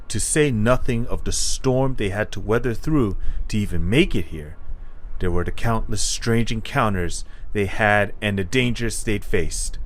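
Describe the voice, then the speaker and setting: A man reading scripted narration for an adventure story. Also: lowish voice.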